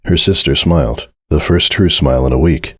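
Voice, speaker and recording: A man's voice: very deep voice, normal speed, slightly rough, no echo, studio quality. A man narrating a scripted story as a dramatic reading.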